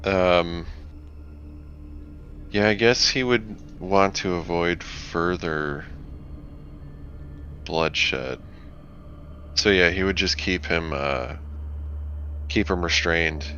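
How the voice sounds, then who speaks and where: A man playing a tabletop roleplaying game, thoughtfully and tentatively describing his character's actions, with halting phrasing, on a dull microphone with faint noise. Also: low-pitched, pushed and bright, soft background music.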